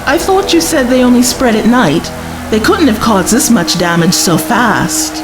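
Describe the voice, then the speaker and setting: A woman acting in a science fiction audio drama as an earnest, inquisitive traveling companion, expressive and often questioning. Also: on the high side, normal speed. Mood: concerned, curious. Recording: music behind the voice.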